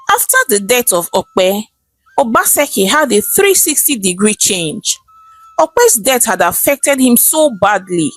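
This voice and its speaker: Animated Nigerian woman storyteller, high-pitched. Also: dry recording, rough recording. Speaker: storyteller, narrator. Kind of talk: scripted folktale narration.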